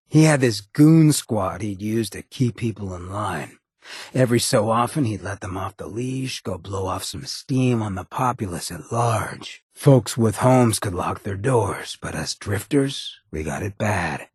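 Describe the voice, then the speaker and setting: An actor playing a roguish ghoul mayor in a video game, a swaggering former drifter with a very raspy, gravelly voice and a cocky, streetwise drawl. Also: male voice, on the low side, no room sound, studio quality, heavily acted. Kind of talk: video game companion dialogue.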